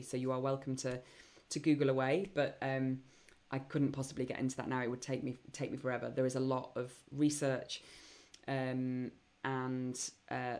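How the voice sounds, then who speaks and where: Croaky-voiced woman hosting a webinar. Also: a bass voice, a little mellow. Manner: chatty and enthusiastic, frequent ums. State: voice getting croaky. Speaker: webinar host and program founder. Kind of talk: live online Q&A session.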